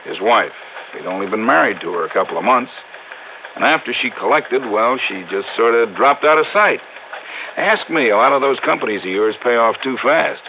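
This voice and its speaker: An actor playing an eager, insistent New York police lieutenant in a 1950s radio drama, talking slangily and emphatically. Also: male voice, mid-range voice, animated, normal speed, lightly acted. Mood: matter-of-fact. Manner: emphatic, slangy, plain-spoken. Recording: muffled, hissy vintage radio recording.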